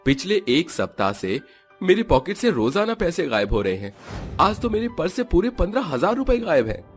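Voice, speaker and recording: A man's voice: high voice, big pitch swings, moderate pace. A man playing a frustrated young husband in a cartoon story, complaining and reproachful. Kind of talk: Hindi cartoon story character acting. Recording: music under the voice.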